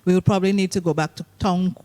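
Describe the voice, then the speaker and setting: A man with a very high voice makes earnest, emphatic points as a panelist in an online academic discussion. He speaks over a video call. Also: steady tone, very fast, a little mellow.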